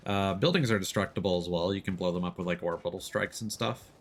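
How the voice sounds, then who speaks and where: A man's voice: deep voice, fast, a little hiss or hum. A man giving casual live game commentary, thinking aloud and explaining things as he plays. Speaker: streamer, gamer.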